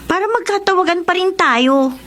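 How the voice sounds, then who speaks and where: A woman playing a caring, protective young friend in a Filipino radio drama, giving gentle advice. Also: very high-pitched, expressive, normal speed, slight background noise. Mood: concerned.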